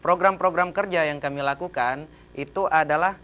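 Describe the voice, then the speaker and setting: Young man, Acehnese accent, smooth clear voice, slightly high, polite halting speech. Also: bright, forceful tone. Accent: Acehnese Indonesian. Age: young adult. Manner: polite, hesitant with frequent fillers. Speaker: student organization representative. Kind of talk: casual studio talk show chat.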